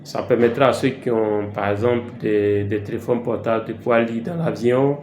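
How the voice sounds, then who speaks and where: A man with an Ivorian French accent teaching a casual language lesson, slow and repetitive with frequent hesitations. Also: fairly low pitch, steady tone, a little mellow. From Côte d'Ivoire. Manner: slow, repeats words for learners, frequent hesitations. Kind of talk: informal language lesson vlog. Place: travelling, recorded in an echoey room. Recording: echoey room, faint background hiss.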